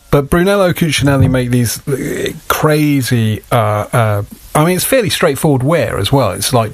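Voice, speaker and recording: A British man co-hosting a podcast, chatting casually in a rambling, anecdotal way with plenty of swearing. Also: medium pitch. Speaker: podcast host.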